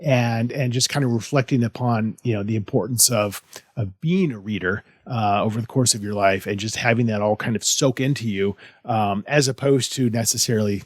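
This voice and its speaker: A man's voice: fairly low pitch, moderate pace, dry recording, clean studio sound. A man hosting a casual interview podcast, rambling with many restarts and fillers. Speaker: podcast host.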